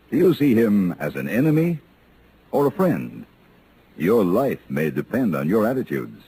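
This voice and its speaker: Male public service announcer: earnest and formal, low mellow voice, faintly noisy vintage radio recording.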